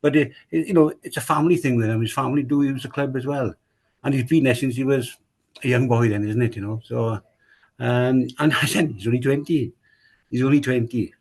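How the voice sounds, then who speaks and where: A man's voice: medium pitch, fairly quick, a little mellow, low-quality recording. A man with a Welsh accent, a rugby club chairman, talking casually on a podcast, rambling and repeating himself with many fillers.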